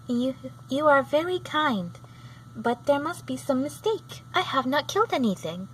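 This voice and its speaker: A woman plays an innocent little farm girl from a storybook. The voice is lifted high, polite and earnest, with a hesitant, frightened edge. There is clear noise on the recording. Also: sing-song, measured pace, recorded from a distance, low-quality recording. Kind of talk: storybook character reading.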